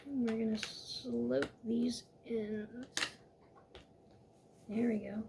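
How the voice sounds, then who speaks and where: A woman walking viewers step by step through a toy building set in a calm, instructive way, a little hoarse from losing her voice. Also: a little high, very slow, background noise.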